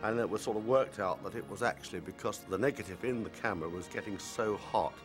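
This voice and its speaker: A man in an interview, recalling work on a film set in a measured, matter-of-fact way. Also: lowish voice, music in the background. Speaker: film crew member interviewed. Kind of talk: reminiscing interview.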